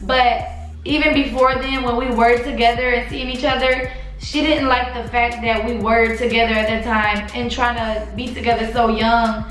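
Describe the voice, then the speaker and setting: A woman's voice: a little high, little pitch movement, moderate pace, a bit bright, very echoey, faint music behind, low-quality recording. A young woman vlogger telling a casual storytime in a sleepy late-night voice, chatty and confiding, calling her viewers y'all.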